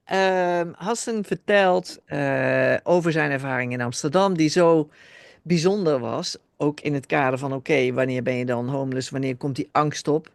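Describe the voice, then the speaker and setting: A man's voice: on the high side, moderate pace, gravelly, dry recording, studio quality. A male podcast host casually recapping a guest's story, hesitant with many fillers. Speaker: host summarizing in Dutch.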